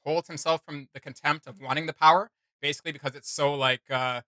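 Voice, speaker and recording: A man's voice: fairly high pitch, steady tone, fast talker, bright, forceful tone, no echo. A man hosting a reaction video, giving chatty, informal commentary with playful asides. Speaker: reaction show host.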